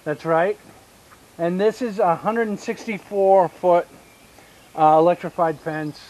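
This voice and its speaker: Southern guy in his 50s walking round his farm, slow easy talk, camera at arm's length outside. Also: high voice, darkish tone, rough recording. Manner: unhurried, explains step by step with pauses and fillers. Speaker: homesteader giving a tour. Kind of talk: casual walking tour. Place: outdoors on rural land by a pine forest. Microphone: action camera held at arm's length.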